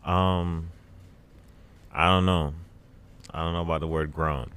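A man's voice: sits low, steady tone, unhurried, fairly bright tone, smooth. A 21-year-old man chatting as a podcast guest in his living room, joking dryly and rambling. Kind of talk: casual podcast chat.

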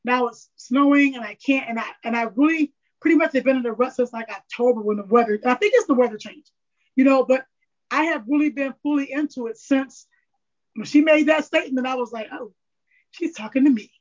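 A woman guest in a casual interview, raspy and candid, rambling openly about herself. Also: high-pitched, brisk. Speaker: podcast guest. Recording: dull lo-fi audio.

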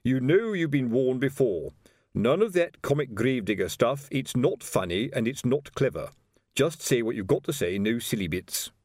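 A man's voice: mid-range voice, lively intonation, brisk, a little mellow, no echo, studio quality, voice pushed up, natural voice. A man voicing a weary, authoritative veteran police sergeant in an audiobook. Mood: weary, stern. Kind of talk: audiobook character voice.

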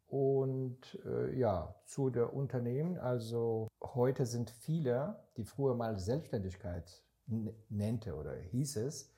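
Man from Israel, earnest co-host, mellow voice, gropes for words. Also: mid-range voice, slow, few pauses. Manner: searching for words, non-native grammar, earnest and emphatic. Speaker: podcast co-host, investor. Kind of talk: casual podcast conversation.

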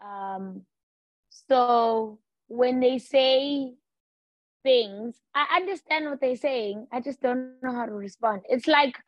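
Slow-talking African woman, dry lo-fi. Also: on the high side, dry recording. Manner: slow, thoughtful, laid-back. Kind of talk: casual chat. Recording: dull dry lo-fi sound.

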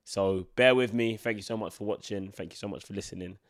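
A man's voice: on the low side, fairly quick. A man in his twenties with a blocked-up nose from a cold, hosting a solo podcast in a casual, rambling way full of filler words. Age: 20s. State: congested from a cold. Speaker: podcast host.

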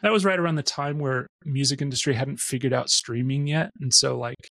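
A man in his forties with a gravelly edge to his voice, guesting on a podcast. He rambles and jokes at his own expense, recorded dry and close. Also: medium pitch, a little fast, sharp tone, dry recording. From born in Austria, raised in Missouri. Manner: rambling, self-deprecating, laughs often. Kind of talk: casual interview chat.

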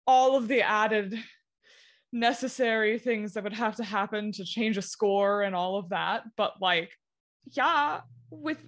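A podcast host chatting casually with a guest, laughing along and cheering with short interjections. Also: female voice, a little high, a bit bright. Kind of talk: casual chat.

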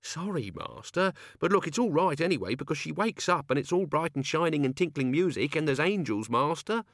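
Guy doing a fretful grumbly servant, pitched up, pleading with his master, storybook. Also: animated, fairly quick, a bit bright, no echo, clean studio sound. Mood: desperate pleading. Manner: deferential, pleading, exasperated. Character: Albert, anxious, grumbling male servant of Death.

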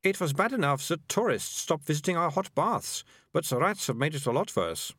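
Guy putting on a high bossy girl voice, prim know-it-all, very up and down. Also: big pitch swings, normal speed, a bit bright, no echo, studio quality. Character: bossy, imaginative young girl, mayor's daughter.

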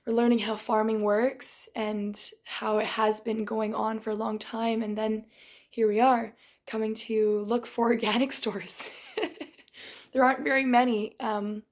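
A young woman with a voice tired and strained from shouting. She talks in a casual, reflective monologue, halting and restarting and often clearing her throat. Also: fairly high pitch, little pitch movement.

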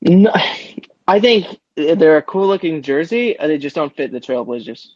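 Higher-voiced man, slightly muffled, chatting casually. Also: animated, moderate pace, a little mellow, no echo. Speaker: podcast co-host. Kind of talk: casual sports chat.

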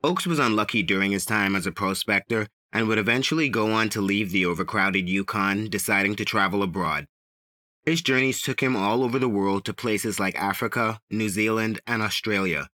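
Guy hosting a true crime podcast, lowish voice, reading a script in measured phrases, dry close mic. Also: sharp tone, no echo. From the Bahamas. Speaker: podcast host.

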